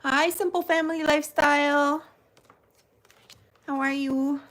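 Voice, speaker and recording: A woman from New Jersey hosts a live stream, speaking slowly and unhurriedly as she shows cards. Her voice sits a little high, and she keeps greeting viewers by name in a chatty way. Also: steady tone, a bit bright. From New Jersey, United States. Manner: slow, unhurried delivery. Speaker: tarot channel host. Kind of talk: live-stream unboxing chat.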